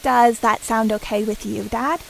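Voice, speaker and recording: A woman playing a composed, quietly firm mother of young children in an audio horror drama. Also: a little high, steady tone, measured pace, creaky, a little hiss or hum, rough recording.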